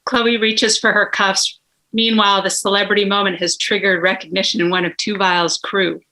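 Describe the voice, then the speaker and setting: A woman's voice: mid-range voice, little pitch movement, normal speed, bright, forceful tone, poor audio. A woman narrating the stage directions at a scripted table read.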